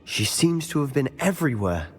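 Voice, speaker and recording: A man's voice: mid-range voice, very animated, moderate pace, lightly acted. A man voicing a hot-headed, bitter teenage boy wizard in a video game. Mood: intrigued, baffled. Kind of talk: video game dialogue acting. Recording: long reverberant hall, music behind.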